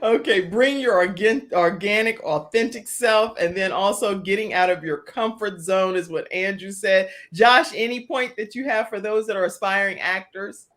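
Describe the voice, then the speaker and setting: A woman's voice: mid-range voice, moderate pace, few pauses, fairly bright tone. A woman hosting an interview show in a conversational way, affirming her guests and recapping their points. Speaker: talk show host.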